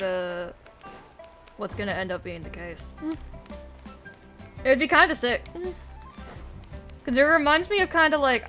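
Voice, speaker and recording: Highish-voiced woman streamer musing casually. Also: bright, forceful tone. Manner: informal, musing, joking. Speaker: game streamer. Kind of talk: casual live commentary. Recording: game music behind the voice.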